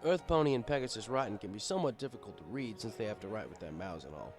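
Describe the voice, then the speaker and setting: A man's voice: fairly low pitch, expressive, quick pace, over music. A man reading a first-person story as scripted narration, in a wry, conversational manner. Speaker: story reader.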